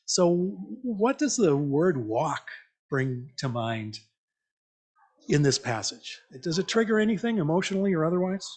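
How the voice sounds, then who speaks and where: A man's voice: a little high, big pitch swings, a little slow, darkish tone. A man preaching an interactive church message in a conversational, reflective way, putting questions to the congregation. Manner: conversational, reflective, repeats listeners' answers back. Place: church meeting, speaking to a congregation.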